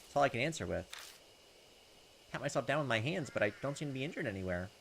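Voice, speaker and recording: A man hosting a gaming video, reading visual novel text aloud and adding jokey, expressive, sometimes profane commentary. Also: medium pitch, fast, gravelly, a little hiss or hum, poor audio. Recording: loud game music behind the voice. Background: game soundtrack.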